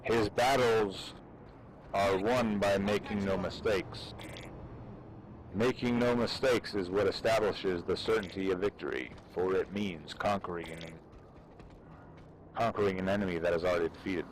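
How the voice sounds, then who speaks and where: Low-voiced man reading solemnly through noise. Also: fairly even intonation, unhurried. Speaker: performer reading aloud. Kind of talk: dramatic reading of an ancient text in an online game voice chat. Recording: noisy game voice chat. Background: online shooter game sounds.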